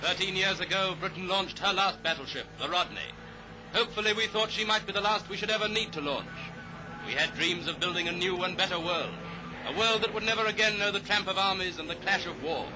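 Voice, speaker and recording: A man's voice: sits high, brisk, background noise. A man giving formal, clipped 1930s newsreel narration in a declamatory, upbeat manner, on an old newsreel soundtrack. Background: orchestral music.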